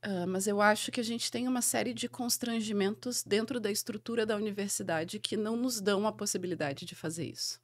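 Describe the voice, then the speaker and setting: A woman's voice: medium pitch, brisk, hardly any pauses. A woman university professor in an interview, talking earnestly and reflectively as she thinks aloud. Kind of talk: conversational interview.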